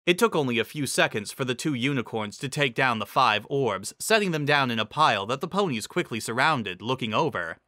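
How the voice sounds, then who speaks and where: Bright-voiced man narrating, very dry recording. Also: mid-range voice, animated, fairly quick, hardly any pauses, dry recording. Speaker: story narrator. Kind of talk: dramatic scripted narration.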